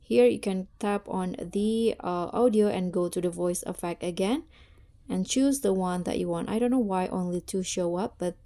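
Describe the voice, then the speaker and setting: A woman hosting a casual step-by-step tutorial, narrating in a halting, deliberate way. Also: medium pitch, warm tone. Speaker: tutorial host.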